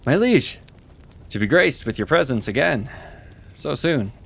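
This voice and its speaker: An actor playing a loyal, quick-witted inquisitor in a fantasy audio drama, composed and deferential, with clipped delivery. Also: male voice, mid-range voice, animated, gravelly, a bit bright, breathy edge, full character voice.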